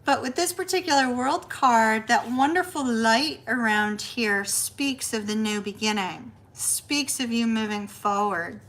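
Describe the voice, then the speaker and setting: A middle-aged woman leads a live tarot reading in a chatty, reassuring way. Her voice sits a little high. It is wearing thin and hoarse as she keeps talking. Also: unhurried, hardly any pauses. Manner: chatty, warm reassuring delivery, says y'all. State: losing her voice. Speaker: tarot reader.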